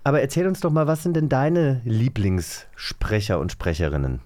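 A man's voice: mid-range voice, sing-song, moderate pace, darkish tone, no echo. A man hosting a podcast in a casual, jokey interview style. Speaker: podcast host.

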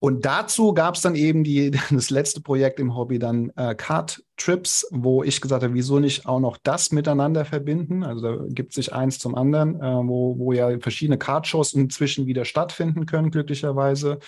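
A man's voice: mid-range voice, normal speed, few pauses, darkish tone. A young adult man, a podcast guest, speaking casually with many hesitations and restarts. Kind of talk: casual interview talk.

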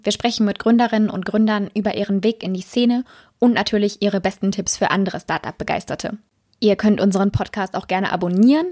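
A woman's voice: mid-range voice, dry recording, clean studio sound. A woman hosting an interview podcast, introducing the show and putting questions to a guest. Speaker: podcast host and editor.

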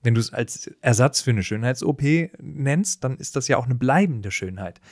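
A man chats as a podcast co-host, reasoning things through out loud. His pitch is average, and the recording is dry with hardly any room sound. He is inquisitive and keeps checking whether he has understood correctly. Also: expressive, moderate pace, dry recording. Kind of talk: casual reflective podcast chat.

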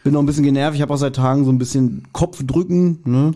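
A man's voice: medium pitch, fast, warm tone. A man co-hosting a podcast, chatting casually with a wry, self-deprecating, complaining manner. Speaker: podcast co-host.

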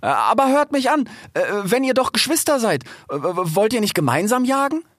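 A nervous, clever grey fox, played by a man, pitched high and stammering over his words. He is courteous and pleading, an animal hero from a storybook. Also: animated, fairly quick, no echo, studio quality. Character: timid, clever, polite young grey fox, male.

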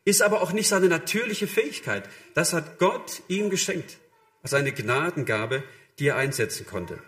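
Higher-voiced man preaching conversationally. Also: animated, moderate pace, fairly bright tone. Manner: direct address to listeners, acting out short imagined quotes. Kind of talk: sermon, conversational and illustrative.

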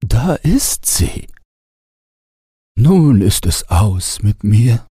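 A man's voice: fairly low pitch, very animated, drawn-out words, dark tone, husky, dry recording, clean studio sound, full character voice. A man voicing a wistful talking snowman in a storybook, with a plaintive storytelling manner. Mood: wistful, resigned. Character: wistful talking snowman telling of his travels.

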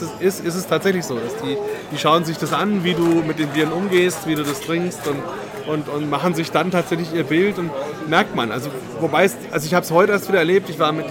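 A man hosting a conversational podcast interview, chatty and quick, often repeating words as he starts a thought. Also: on the high side. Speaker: podcast host.

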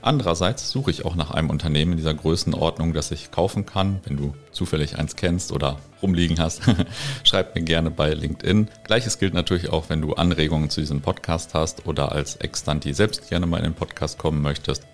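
A man's voice: very deep voice, fairly quick, faint music behind. A man in his thirties with a cold, hosting a casual podcast interview in German and laughing easily. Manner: relaxed, chatty, laughs often. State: has a cold. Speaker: podcast host.

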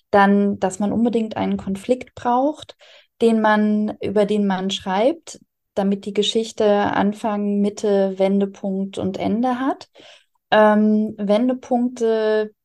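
A woman explains her craft in an interview. Her voice is mellow and relaxed, at a middling pitch, and she talks as a working author. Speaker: interviewed radio drama author.

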